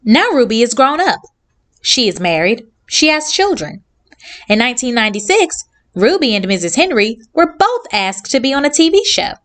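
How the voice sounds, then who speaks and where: A woman hosting a children's read-along, reading aloud and addressing young listeners in simple, clearly phrased sentences. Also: fairly high pitch, sing-song, moderate pace, fairly bright tone.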